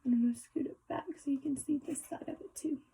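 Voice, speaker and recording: A woman's voice: sits high, fairly even intonation, quick pace, dark tone, a little hiss or hum. A woman reading a children's picture book aloud like a teacher, adding explanatory asides and speaking directly to young listeners.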